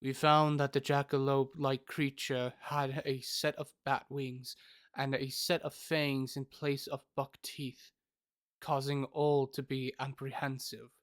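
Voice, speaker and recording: A man acting as the formal, measured narrator of a fantasy audio drama, recounting events in the first person. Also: mid-range voice, level tone, no room sound, clean studio sound, natural voice. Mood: grave, measured.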